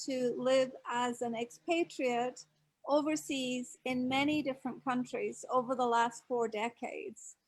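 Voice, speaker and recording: Older woman from Scotland, high-pitched, reflective, measured interview guest. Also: fairly even intonation, poor audio. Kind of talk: casual interview chat.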